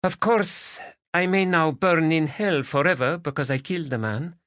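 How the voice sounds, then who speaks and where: A man's voice: fairly high pitch, moderate pace, fairly bright tone, no echo, studio quality, pitched above the performer's own voice, close to an everyday voice. A man voicing a proud, fiery Spanish noblewoman in an audiobook, speaking emotional, slightly broken English with a Spanish accent. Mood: teasing. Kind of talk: audiobook character voice.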